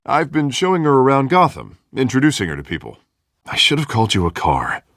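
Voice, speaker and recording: A man's voice: medium pitch, wildly swooping pitch, fairly quick, a bit bright, no room sound, studio quality. A man playing an earnest, harried politician running for office in a video game, affable and conciliatory. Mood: friendly.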